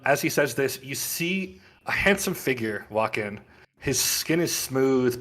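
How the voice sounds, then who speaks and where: A man's voice: mid-range voice, fairly bright tone. A man running a tabletop roleplaying game as game master, narrating scenes with descriptive, dramatic phrasing.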